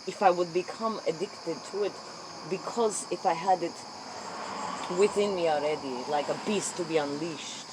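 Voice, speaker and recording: A man acting as an earnest interviewer in a comic role-play, speaking deliberate broken English. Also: sits high. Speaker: interviewer in character. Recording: faint noise, crickets behind.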